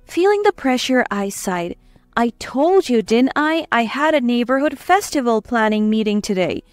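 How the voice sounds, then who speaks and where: A woman reading a first-person dramatic story as narrator, in an expressive, emotive way. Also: a little high, moderate pace, dry recording. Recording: dry close voice over faint music. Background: soft background music.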